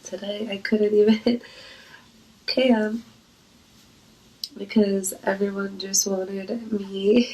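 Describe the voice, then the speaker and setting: A woman with a congested, creaky voice and a cold, chatting casually and intimately to viewers in a flirty, playful manner. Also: medium pitch, fairly even intonation, very slow, long pauses, darkish tone, low-quality recording.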